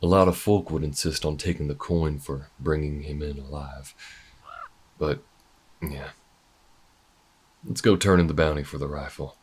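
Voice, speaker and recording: A man's voice: rumbling low, steady tone, moderate pace, pauses often, slightly rough. A man playing an easygoing, good-hearted bounty hunter in a space frontier audio roleplay, talking in a folksy, reassuring drawl. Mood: warm, upbeat.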